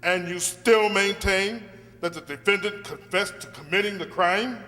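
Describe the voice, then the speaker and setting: An actor playing an earnest, dogged defence barrister with formal, oratorical delivery in a radio play. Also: male voice, sits high, moderate pace, pushed and bright, faint music behind. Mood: accusing. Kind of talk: courtroom radio play acting. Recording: hall reverb with faint hum.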